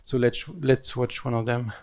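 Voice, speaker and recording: A man co-presents at a business conference. His voice sits at an ordinary pitch and barely rises or falls as he introduces figures and a video in a plain, matter-of-fact way. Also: little pitch movement, moderate pace, a little mellow, clean tone.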